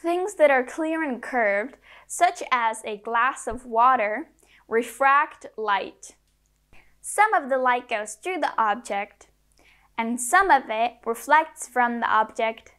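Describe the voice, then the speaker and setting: A young adult woman presenting science to children, narrating a simple English script in a measured, word-by-word way for learners. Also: on the high side, animated, a bit bright.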